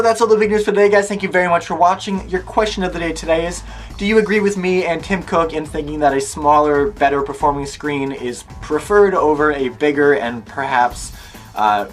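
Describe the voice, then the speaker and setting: Higher-voiced man hosting casual tech news. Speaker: host of a daily tech news show. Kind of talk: upbeat scripted tech news delivery to camera.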